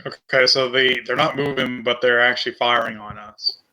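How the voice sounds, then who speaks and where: A man's voice: medium pitch, fairly even intonation, normal speed, pushed and bright, poor audio. A man playing a tabletop game, talking casually with the group as a player.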